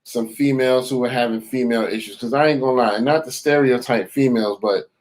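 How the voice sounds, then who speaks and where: A man's voice: medium pitch, fast talker, a little mellow, poor audio. Man, podcast co-host, laid-back, casual, filler-heavy. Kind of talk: casual chat.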